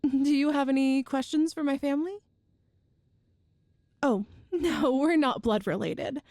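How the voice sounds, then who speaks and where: A woman's voice: high voice, measured pace, dry recording, clean studio sound. A woman playing an affectionate, playful girlfriend from a ghost family in an ASMR audio roleplay, chatty and tender. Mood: warm, amused. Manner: chatty and tender, with soft laughs and sighs.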